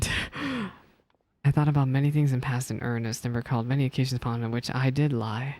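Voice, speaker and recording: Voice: medium pitch, expressive, moderate pace, a little mellow, no room sound. A video game streamer reading game text aloud and reacting with emotional commentary. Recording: game music behind the voice. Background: video game music.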